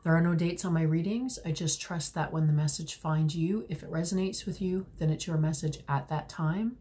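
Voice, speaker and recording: Low, mellow-voiced woman reading tarot. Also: fairly even intonation. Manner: gentle, unhurried, many fillers and restarts. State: slightly hoarse from a cold. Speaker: tarot reader. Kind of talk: intuitive tarot reading to camera. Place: small room at home, indoors.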